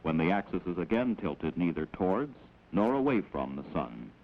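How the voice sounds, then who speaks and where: A man with an American accent gives measured, instructive scripted narration in the manner of a 1940s educational film, on an old film soundtrack. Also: low voice, sing-song, gravelly. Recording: dull, noisy old 16mm film soundtrack.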